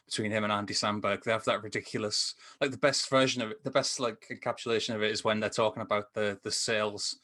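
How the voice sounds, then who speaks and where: Male panelist, lowish forceful voice, rambling enthusiastic film chat over a call. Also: steady tone, fast, hardly any pauses. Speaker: podcast panelist reviewing films. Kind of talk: casual film discussion chat. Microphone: remote call microphone.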